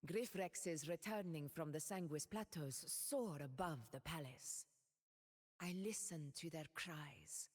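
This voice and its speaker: A solemn regal warrior ruler. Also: female voice, low-pitched, big-room sound, exaggerated voice. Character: proud noble woman ruler, steadfast warrior. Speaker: game character voice lines. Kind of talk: game voice lines.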